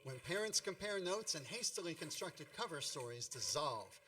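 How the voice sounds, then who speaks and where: A bright, slightly high-pitched man's voice, heard from off the microphone. An actor plays a nostalgic radio-play narrator who tells boyhood troubles with wry, mock-epic grandeur. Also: big pitch swings, moderate pace, a little hiss or hum. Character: nostalgic grown man wryly retelling his boyhood mishaps. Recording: distant microphone.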